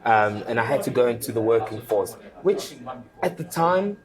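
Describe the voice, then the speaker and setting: A man in his thirties from the Democratic Republic of Congo, whose first language is French, speaking as a consulting director in an animated, emphatic interview full of rhetorical questions. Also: mid-range voice, moderate pace, a crowd in the background. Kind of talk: conversational interview. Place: conference.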